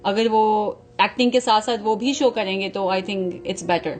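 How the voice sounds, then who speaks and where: A woman's voice: mid-range voice, measured pace. A woman co-hosting a TV show who talks about dancing in an easygoing way, mixing English phrases into her replies and often agreeing with short words. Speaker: co-host and dancer. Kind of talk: casual studio chat. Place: TV studio.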